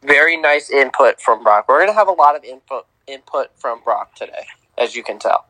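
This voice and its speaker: A young man co-hosting a casual sports podcast, teasing and lively, on a home mic. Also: mid-range voice, brisk, no echo, poor audio. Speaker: podcast co-host.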